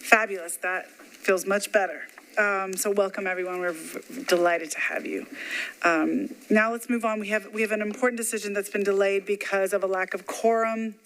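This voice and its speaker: A woman chairing a public commission meeting, brisk and upbeat, speaking into a table microphone in a meeting room. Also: mid-range voice, fairly even intonation, a bit bright, a little hiss or hum, poor audio.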